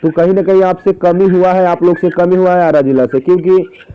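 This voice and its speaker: A man's voice: sits high, fairly even intonation, a little fast, smooth. Insistent man on a muffled call. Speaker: interviewer questioning a singer by phone. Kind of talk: phone interview, probing questions. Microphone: phone call. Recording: muffled, dull phone call recording.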